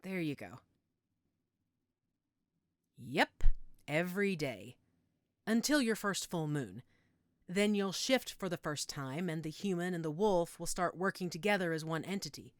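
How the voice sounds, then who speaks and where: Woman acting a frank, caring werewolf, low dry voice. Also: expressive, a little slow, long pauses, no room sound. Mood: soothing, practical. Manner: matter-of-fact, reassuring, wry. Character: frank, caring female werewolf tending a frightened newcomer. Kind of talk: audio roleplay drama, one-sided conversation.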